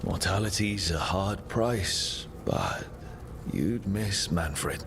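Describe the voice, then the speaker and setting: An actor playing a supportive, plain-spoken adventurer in a fantasy game, sincere and encouraging. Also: male voice, sits low, extremely slow, noisy background, exaggerated voice. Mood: reassuring.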